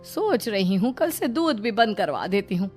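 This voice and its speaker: A woman's voice: medium pitch, sing-song, moderate pace, darkish tone. A woman voicing a shrewd middle-aged mother-in-law in a story, coaxing, motherly and firm. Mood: feigned worry. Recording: music behind the voice.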